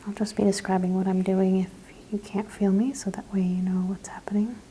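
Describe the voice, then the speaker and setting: A woman whispering gently in an ASMR spa roleplay, softly and slowly describing each thing she does in a breathy voice. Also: mid-range voice, little pitch movement, a little hiss or hum. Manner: slow, gentle, step-by-step narration of actions. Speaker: ASMR performer playing a spa practitioner.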